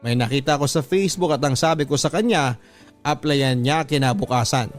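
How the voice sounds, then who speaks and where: A man's voice: mid-range voice, moderate pace. A man with a Filipino accent reading a listener's letter aloud as a radio drama narrator, in a scripted dramatic storytelling style. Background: background music.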